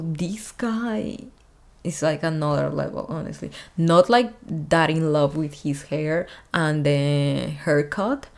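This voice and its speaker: Brief: young woman vlog host, low voice, unhurried, chatty filler-heavy reaction talk. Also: a little mellow. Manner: chatty, many fillers like "like" and "honestly". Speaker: fashion designer and YouTube host. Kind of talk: casual reaction commentary.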